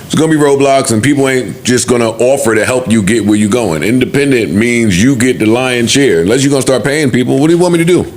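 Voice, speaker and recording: A man's voice: medium pitch, fast, hardly any pauses, a little mellow. A man hosting a podcast, giving opinions casually and emphatically, repeating phrases to drive a point home. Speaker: podcast host.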